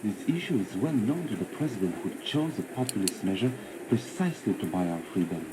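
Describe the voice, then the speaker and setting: A grave political speaker denounces the government in a game's broadcast. The voice is deep, solemn and declamatory, with obvious noise around it. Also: male voice, very animated, brisk. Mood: indignant.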